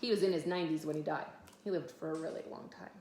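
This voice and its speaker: A woman's voice: low-pitched, fairly even intonation, fast, dark tone. A mother reading a children's biography aloud at home, with casual asides to her kids. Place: echoey room at home. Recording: echoey room, faint background noise.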